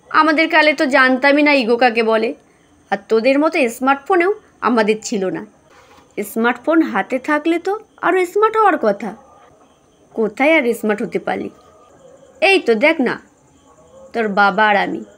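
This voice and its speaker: A woman voicing a patient middle-aged mother in an audio story, soothing and gently chiding. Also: on the high side. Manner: soothing, chiding, homespun advice.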